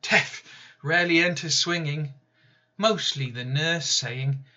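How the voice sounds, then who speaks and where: Slow, measured man reading poetry. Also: on the high side, pushed and bright, lo-fi sound. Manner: slow, deliberate, measured delivery. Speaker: poet reading his own poem. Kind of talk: spoken-word poetry reading.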